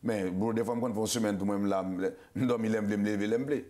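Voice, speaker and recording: A man with a Haitian accent hosts a loose, casual talk show. He talks at a rapid clip, stumbling into restarts and repeated words. He slips Creole and English phrases into his speech. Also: on the low side, fairly even intonation, warm tone. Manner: fast, repetitive, stammering restarts, mixes Creole and English. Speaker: show host.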